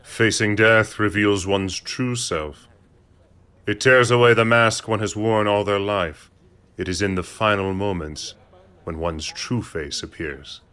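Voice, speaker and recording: An actor in an audio drama playing a cold, worldly, cynical middle-aged count who speaks in a measured, formal way. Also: male voice, lowish voice, pushed and bright, clean tone. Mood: detached.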